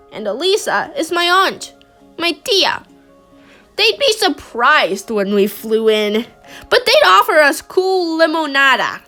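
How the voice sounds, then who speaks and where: A woman reading a picture book aloud in a gentle, expressive way. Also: high-pitched. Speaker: storybook reader.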